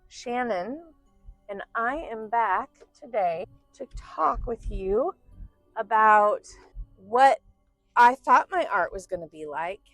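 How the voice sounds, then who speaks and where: A woman in her forties chats in a relaxed storytime way, now and then cursing casually or saying y'all. Her voice is a little croaky and stuffy, and she takes her time. The sound is close and dry, with faint music underneath. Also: a little high, dry recording, low-quality recording. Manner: chatty, swears casually, says y'all and right. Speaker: artist and channel host.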